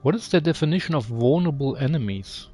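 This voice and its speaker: A man's voice: a little high, lively intonation, fast talker, a little mellow, breathy edge. Man musing aloud over game music. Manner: musing, asks himself questions. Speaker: video game player and channel host. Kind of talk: casual gameplay commentary, thinking aloud and reading menu text.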